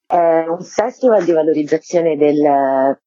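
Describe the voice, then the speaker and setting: A woman's voice: low voice, unhurried, mellow, slight background noise. A woman, a festival curator giving fluent, formal explanations in an interview. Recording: dull, lo-fi dry interview line.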